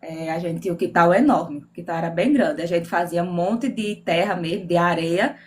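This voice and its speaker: A woman's voice: on the low side, moderate pace, a little mellow. A woman from Northeast Brazil with a Northeastern Brazilian accent, chatting playfully as a guest author on a live video call from home. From Northeast Brazil, Mossoró. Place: home room with air conditioning.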